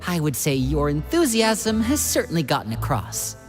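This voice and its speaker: A woman's voice: low voice, huge pitch swings, moderate pace. A woman voicing a composed, playful teenage boy in a video game, polite and protective. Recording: game music behind.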